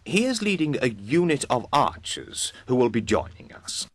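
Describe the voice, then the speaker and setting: An actor playing a military messenger giving a report in a fantasy video game. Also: male voice, mid-range voice, very animated, moderate pace, husky, dry recording. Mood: earnest.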